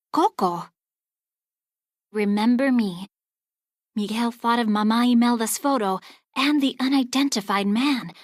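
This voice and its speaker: A woman narrating a children's storybook aloud in an expressive, scripted read-along style. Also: on the high side, no echo, studio quality.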